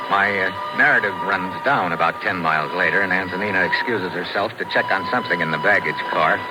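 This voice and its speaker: An actor playing a wisecracking American agent in a 1950s radio drama, breezy and hard-boiled, both in dialogue and first-person narration. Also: male voice, deep voice, brisk, loud music behind the voice, lightly acted. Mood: brisk, hard-boiled. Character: wisecracking, cool American undercover agent hero. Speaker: lead and narrator. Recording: very noisy old 1950s radio recording.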